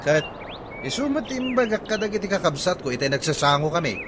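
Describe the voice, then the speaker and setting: A man's voice: sits high, big pitch swings, brisk. A man with an Ilocano accent playing a put-upon, plain-spoken husband in a radio comedy drama. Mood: resigned. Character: put-upon husband reporting his siblings' inheritance demands. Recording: noisy outdoor ambience with birdsong.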